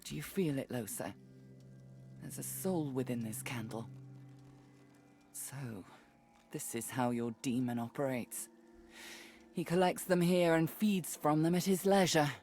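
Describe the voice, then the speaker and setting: An actress playing a sardonic, guarded woman guide in a video game, measured and commanding. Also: deep voice, a little mellow, faint music behind. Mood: grave.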